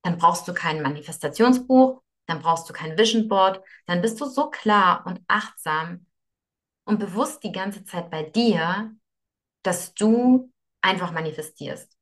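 A young woman who grew up in Russia speaks as a podcast guest. Her voice sits slightly low, and she explains her ideas earnestly, often circling back and repeating words as she thinks aloud. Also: animated, a little slow, fairly bright tone. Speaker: yoga teacher and guest. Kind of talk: conversational podcast interview.

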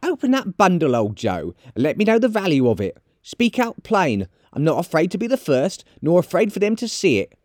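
A man voicing a bold, defiant, scornful charwoman in a storybook reading, loud and exclaiming. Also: high voice, huge pitch swings, fairly quick, hardly any pauses, dry recording, studio quality, pitched above the performer's own voice.

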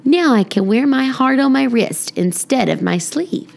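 Lady doing a little storybook schoolgirl, earnest n excitable, takes everything literally, real bouncy pitch. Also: measured pace, creaky, heavily acted. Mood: friendly.

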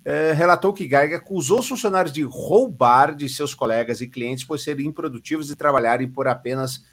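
A man's voice: fairly high pitch, brisk, a bit bright. A Brazilian man co-hosting a podcast, reading news stories aloud and adding dry, sarcastic comments.

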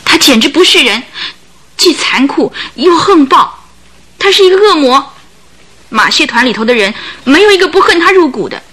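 Anguished, bitter wife, high and dramatic. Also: female voice, sing-song, moderate pace, bright, forceful tone, full character voice. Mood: anguished, hateful. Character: bitter, anguished circus owner's wife recalling her abuse. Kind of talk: Chinese radio drama acting.

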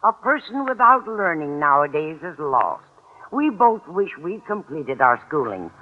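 A woman's voice: sits low, big pitch swings, unhurried, full character voice. An actress playing a chatty, naive, scatterbrained older landlady in an old-time radio sitcom. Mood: earnest, puzzled. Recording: dull, noisy old radio recording.

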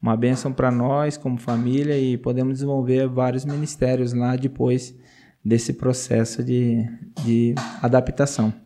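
Missionary man, mellow and earnest, laughs easily, repeats himself. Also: medium pitch, little pitch movement, moderate pace. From Brazil. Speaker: missionary guest. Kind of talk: casual interview testimony.

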